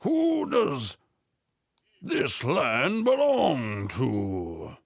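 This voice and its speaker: A man playing an old, commanding king in a cartoon storybook, slow and regal. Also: medium pitch, wildly swooping pitch, gravelly, slightly rough, no room sound, clean studio sound, full character voice.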